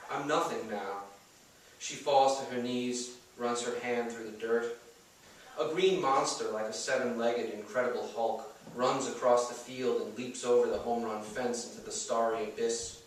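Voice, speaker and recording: A man's voice: medium pitch, fairly even intonation, moderate pace. A man reading first-person fiction aloud as its author at a live bookstore event. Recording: echoey room, distant mic, faint noise.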